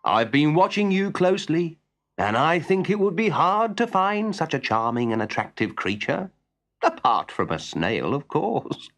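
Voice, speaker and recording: A man voicing a suave, kindly, self-admiring male snail in a storybook, with smooth, flattering talk. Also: fairly high pitch, a little slow, heavily acted. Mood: suave flattery.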